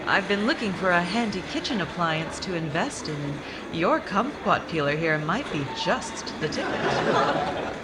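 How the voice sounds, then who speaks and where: A woman playing a brisk, forthright rich widow in an old-time radio comedy. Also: fairly low pitch, expressive, over a noisy crowd, very noisy. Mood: breezy.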